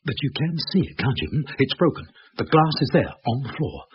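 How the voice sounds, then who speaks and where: A man's voice: mid-range voice, expressive, fairly quick, lightly acted. An actor playing an anxious, stubborn British man leading a trapped group in a radio thriller, earnest and hesitant. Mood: anxious, puzzled. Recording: dull noisy radio drama recording.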